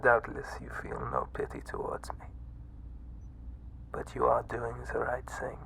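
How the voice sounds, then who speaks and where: A man voicing a frail, obsessive mad scientist with a breathy, halting delivery in an ASMR horror roleplay. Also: mid-range voice, big pitch swings, fairly bright tone, slight background noise, heavily acted, rough recording. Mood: weary, grave. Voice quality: breathy, whispery. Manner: halting, theatrical. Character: weak, obsessive mad scientist doctor running a monster containment site.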